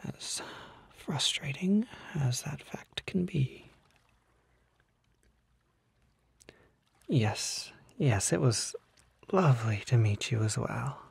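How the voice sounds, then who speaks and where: A man playing a smooth-talking, unsettling kelpie in an ASMR roleplay, breathy and half-whispered, with halting, coaxing, genteel speech. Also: medium pitch, lively intonation, no room sound, heavily acted. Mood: wistful, saccharine. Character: unsettling smooth-talking male kelpie, charming, needy, secretly predatory.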